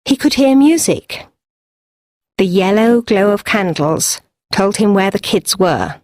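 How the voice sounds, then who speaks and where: A woman reading a story for English learners, with careful, deliberate phrasing in a scripted audiobook style. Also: mid-range voice, lively intonation, a little mellow, no echo, studio quality. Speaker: narrator.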